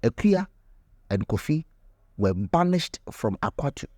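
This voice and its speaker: A man narrating a scripted folktale in a measured, solemn and theatrical manner. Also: mid-range voice, very animated, a little mellow, no room sound, studio quality. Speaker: storyteller and channel host.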